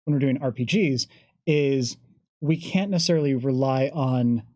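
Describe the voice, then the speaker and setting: A man's voice: medium pitch, moderate pace, dark tone, no room sound, studio quality. A man talking casually as a game designer on a discussion panel.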